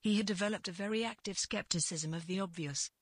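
A woman with a husky voice narrating literary fiction as a scripted read, in deliberate phrases with pauses. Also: mid-range voice, no room sound.

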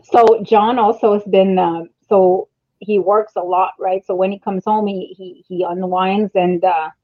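A middle-aged woman chatting casually in an animated, storytelling way, with thin phone-like audio. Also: mid-range voice, measured pace, dry recording. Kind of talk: casual chat.

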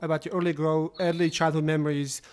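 A man hosting his podcast, welcoming a guest with loose, informal phrasing and quick questions. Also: a little high, little pitch movement, a little hiss or hum. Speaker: podcast host. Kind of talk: casual interview hosting.

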